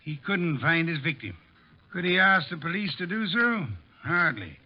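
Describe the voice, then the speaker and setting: An actor playing a brilliant, imperious private detective in an old radio drama, speaking in formal, pompous, elaborate sentences. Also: male voice, a little high, very animated, moderate pace. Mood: pompous, expounding. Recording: dull vintage radio recording.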